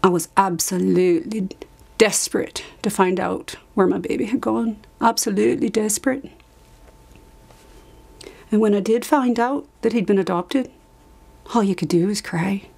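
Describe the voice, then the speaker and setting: An actress plays a wounded woman survivor recalling cruelty in a confessional dramatic monologue. Her voice sits at a middle pitch. She speaks haltingly, pressing on repeated phrases with hurt and defiance. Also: gravelly, a little mellow. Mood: hurt, despairing. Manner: halting, emphatic repetition, sudden drops to whisper. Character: anguished woman survivor of an Irish Magdalene asylum, recalling abuse.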